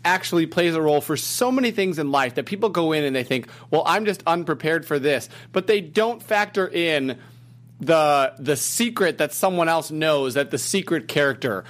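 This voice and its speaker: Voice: medium pitch, animated, normal speed. An interviewer who asks long, reflective questions in a thoughtful way.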